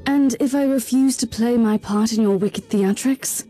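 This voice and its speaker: Actress as dark-toned stern female inquisitor. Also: fairly high pitch, normal speed, faint music behind, natural voice. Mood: stern, scornful. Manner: curt, commanding.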